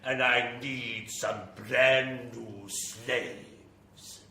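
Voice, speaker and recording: An actor playing a melodramatic, evil and lonely vampire lord in classic horror radio style, grandiose and theatrical. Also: male voice, mid-range voice, steady tone, bright, forceful tone, heavily acted. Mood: exasperated, menacing. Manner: hammy, grandiose, slow theatrical declaiming. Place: small live venue with audience. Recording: roomy live stage recording, background noise.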